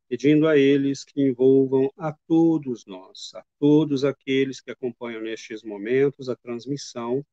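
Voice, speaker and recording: Man, middle-aged, spiritist lecturer, earnest and measured, dry muffled webcam audio. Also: mid-range voice, dark tone, dry recording. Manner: measured, earnest, reflective delivery with pauses. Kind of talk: devotional lecture. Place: home study with bookshelves. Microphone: webcam video call. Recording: muffled lo-fi video call audio.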